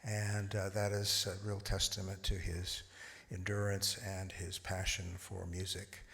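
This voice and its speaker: A middle-aged man speaks at a church service in a fairly low voice. He pauses often, hesitant and sincere. A long reverb hangs behind him in the sanctuary. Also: monotone, creaky, a bit bright. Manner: hesitant, many uhs. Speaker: congregant.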